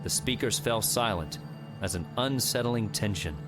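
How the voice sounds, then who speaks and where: Clear background music runs under a man's low voice. He narrates a scripted adventure story in a dramatic audiobook style. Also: normal speed.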